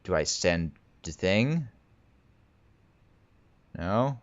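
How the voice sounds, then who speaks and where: Finnish-accented man with a low voice. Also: lively intonation, drawn-out words, dry recording, dull audio. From Finland. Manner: thinking aloud, stammering restarts. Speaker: gaming video creator. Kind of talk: horror game let's play commentary.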